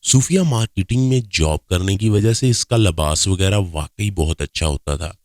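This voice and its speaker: A man's voice: sits low, moderate pace, a little mellow, no echo, studio quality. A man reading a scripted first-person story as its narrator.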